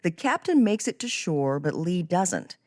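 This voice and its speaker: A woman's voice: on the low side, big pitch swings, unhurried, a little mellow. A woman hosting a podcast, presenting and asking questions in a scripted interview style. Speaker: podcast host.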